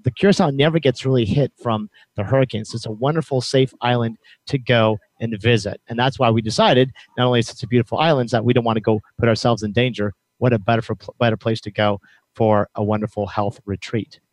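A man's voice: mid-range voice, steady tone, quick pace, no echo, rough recording. Enthusiastic male host, dry close sound. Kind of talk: interview chat.

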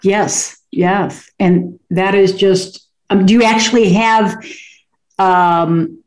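A woman's voice: mid-range voice, steady tone, takes it slowly, low-quality recording. A woman hosting a talk show, gushing and rambling enthusiastically about what she loves. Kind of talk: casual interview chat.

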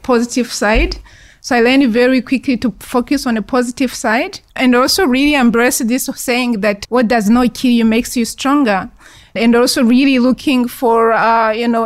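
A woman from Rwanda, middle-aged, answers interview questions in an earnest, reflective way, speeding up in bursts and pausing on fillers. Her voice sits a little high. The sound is close and dry. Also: no room sound. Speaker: guest, founder of an investment fund.

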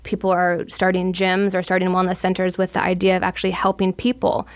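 A woman's voice: lowish voice, monotone, fairly quick. A woman hosting a podcast interview, eager and effusive, asking long rambling questions. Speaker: podcast host. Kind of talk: casual interview.

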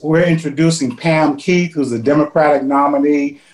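A man co-hosts the program and introduces the guest in measured, formal sentences. His voice sits a little high and carries a roomy echo. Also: fairly even intonation, a little mellow. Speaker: co-host.

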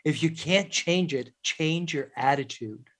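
A man hosts a relaxed interview with a slightly higher voice. He is agreeable and chatty, keeps saying "you know", and laughs easily as he talks. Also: measured pace. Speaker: interview host. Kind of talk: conversational interview.

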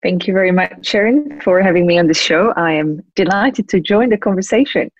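Young czech woman being interviewed, lowish voice, bit muffled and dry, pauses a lot mid sentence. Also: dry recording. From Czech Republic. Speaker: guest, lawyer and compliance officer. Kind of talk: conversational interview answers.

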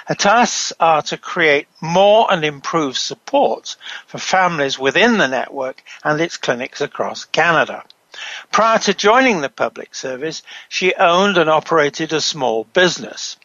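Measured man hosting a talk show. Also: on the high side, animated, pushed and bright, no echo. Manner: measured, reading from a script. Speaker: host. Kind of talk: scripted talk show introduction. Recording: muffled, dull, dry sound.

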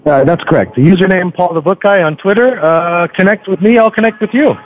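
A man's voice: high voice, fairly quick, no echo. Chatty man on dull radio audio. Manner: chatty, hesitant with frequent ums and filler phrases. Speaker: podcast host being interviewed as a guest. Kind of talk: conversational radio interview.

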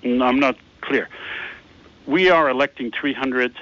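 Halting man explaining on radio. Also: medium pitch, fairly bright tone, no echo. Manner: halting, heavy with "uh" fillers, patient explaining. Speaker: guest, founder of a peace initiative. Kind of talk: radio interview. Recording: slightly dull lo-fi radio sound.

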